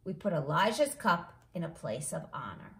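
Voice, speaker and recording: A woman reading a children's story aloud in an expressive storytelling style, acting out the dialogue. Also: deep voice, normal speed, vocal fry. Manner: animated, acts out dialogue. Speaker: read-along host, storyteller. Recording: echoey room.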